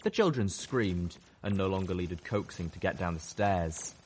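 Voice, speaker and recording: A man's voice: deep voice, normal speed, very noisy. A man giving dramatic scripted narration of a story as an audiobook narrator.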